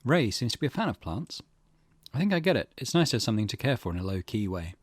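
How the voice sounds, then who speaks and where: A man giving let's play commentary and reading game text aloud, thinking out loud as he goes. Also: medium pitch, very animated, fast talker, no echo. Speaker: gaming channel host. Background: game music.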